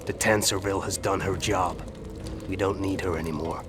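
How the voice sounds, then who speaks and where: Game role: impatient, sardonic assassin, male actor, lowish voice, blunt delivery, noisy ambience behind. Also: steady tone, moderate pace, natural voice. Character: gruff male assassin, bold, impatient for action. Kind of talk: dramatic video game dialogue.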